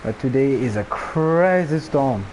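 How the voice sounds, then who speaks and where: A man's voice: medium pitch, unhurried. Chatty man with a Botswana accent. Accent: Botswana English. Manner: chatty and relaxed, laughs often. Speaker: game streamer. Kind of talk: casual live-stream chat. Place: home room during a rainstorm. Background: faint rain and ambient noise.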